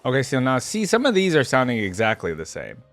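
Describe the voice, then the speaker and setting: A man's voice: medium pitch, wildly swooping pitch, fast talker, fairly bright tone. A man giving casual reaction commentary as a video host, musing and thinking aloud. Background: game music and sound effects.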